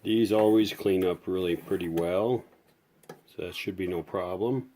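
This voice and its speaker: A man's voice: sits low, a little slow, noisy background. A man talking casually and off the cuff as he gives a hands-on repair walkthrough, with folksy, rambling explanations. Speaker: radio repairer presenting a restoration video.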